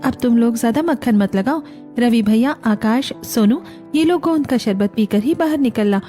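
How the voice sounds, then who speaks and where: A woman acts out a devoted young daughter-in-law from a cartoon family drama. Her voice is high and mellow, and she speaks with gentle respect and care, over clear background music. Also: moderate pace, lightly acted. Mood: affectionate, caring. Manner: reassuring, respectful. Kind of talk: Hindi cartoon family story acting.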